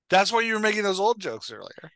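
A man's voice: sits high, fairly bright tone, no echo, studio quality. A man in his forties hosting a podcast, chatting casually in a jokey, self-deprecating way into a headset mic. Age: 40s. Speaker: podcast host. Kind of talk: casual unscripted podcast banter. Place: indoor room, on a video call.

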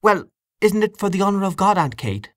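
Young niece played by a male reader, lifted pitch, polite, coaxing peacemaker in storybook dialogue. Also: big pitch swings, a little fast, no room sound, studio quality. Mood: smiling. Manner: pacifying, coaxing. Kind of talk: acted audiobook dialogue.

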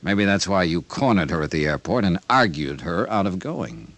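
A man's voice: sits low, very animated, normal speed, fairly bright tone, no room sound, full character voice. An actor playing a tough, world-weary insurance investigator who narrates his own case in a hard-boiled 1950s radio drama. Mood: probing, terse. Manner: hard-boiled, clipped dialogue and brooding narration. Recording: old radio recording with background music.

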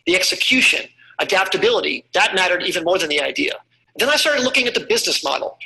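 Quick, bright-voiced man reflecting on startups. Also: sits high, rough recording. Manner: reflective, listing points. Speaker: venture capitalist. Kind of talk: recorded talk summary.

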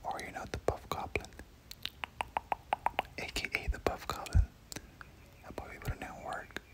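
A gamer whispering casual, slangy ASMR commentary over gameplay, often repeating words, close to the microphone. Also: measured pace. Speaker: gaming video host.

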